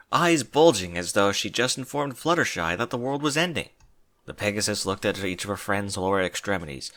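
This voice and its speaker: A man's voice: fairly low pitch, a bit bright. A man narrating a scripted story expressively, as a reader of fan fiction.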